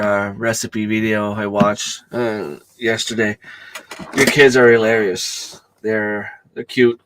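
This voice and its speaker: A middle-aged man with a hoarse voice from a cold, chatting casually on a home live stream and greeting viewers by name. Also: fairly low pitch, a bit bright, dry recording, rough recording. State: losing his voice from a cold. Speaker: channel host.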